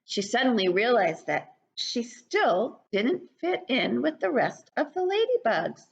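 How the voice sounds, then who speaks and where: An older woman reads a picture book to small children. Her high voice moves up and down a lot and has some creak in it. The sound is a little dull, as from a webcam at home. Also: unhurried. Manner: animated, addressing young children. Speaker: children's story reader. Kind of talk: read-aloud picture book narration for preschoolers. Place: living room at home.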